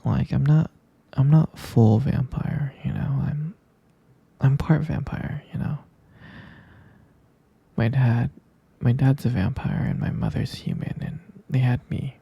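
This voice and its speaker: A man playing a gentle, sleepy half-human vampire boyfriend in an ASMR roleplay, murmuring hesitant, tender reassurance close to the mic in a soft, breathy whisper. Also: mid-range voice, sing-song, takes it slowly, no room sound, muffled recording, heavily acted. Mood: sleepy, explaining.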